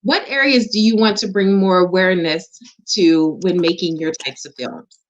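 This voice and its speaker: A woman's voice: fairly low pitch, moderate pace. A woman hosting an interview, affirming and encouraging her guest, her audio slightly muffled. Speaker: interview host. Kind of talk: casual interview.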